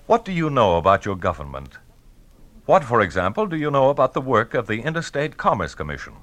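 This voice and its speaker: A man's voice: medium pitch, huge pitch swings, a little fast, fairly bright tone. A man delivering a formal, scripted public service narration as a 1950s radio announcer. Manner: formal, rhetorical.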